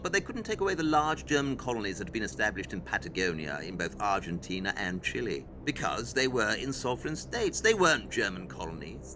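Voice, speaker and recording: An actor playing a brisk, all-knowing male detective in an audio play, explaining things precisely and with condescension. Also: medium pitch, noisy background, lightly acted.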